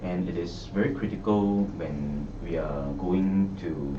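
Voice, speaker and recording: A man's voice: sits low, a little slow. Man, Singaporean accent, hesitant, many fillers, noisy lo-fi recording. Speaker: election candidate. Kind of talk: press conference answers. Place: party office media room. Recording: echoey room, far mic, dull noisy sound.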